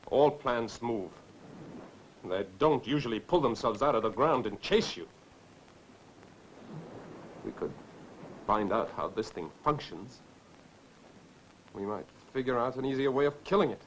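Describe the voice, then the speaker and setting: An actor in a 1960s film drama playing a driven, weary scientist with a dry, terse manner. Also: male voice, mid-range voice, expressive, a little slow, pauses often, close to an everyday voice. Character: driven, weary male scientist fighting to survive. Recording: noisy old 1960s film soundtrack.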